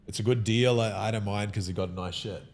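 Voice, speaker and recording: A man's voice: fairly low pitch, fairly even intonation, fast, darkish tone. A man talking in a casual podcast conversation, opinionated and emphatic, often breaking off and restarting his sentences.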